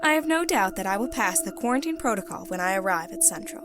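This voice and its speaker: A woman playing a composed, ruthless female secret agent, giving a formal, measured science-fiction mission report. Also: mid-range voice, animated, close to an everyday voice. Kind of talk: scripted dramatic reading, mission debrief. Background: background music.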